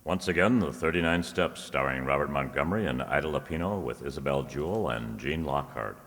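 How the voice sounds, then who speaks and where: Formal old-time radio narrator , played by a man, extremely deep, in long hall reverb. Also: expressive, fairly quick, a bit bright, exaggerated voice. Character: formal radio announcer narrating a mystery play. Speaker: announcer and narrator.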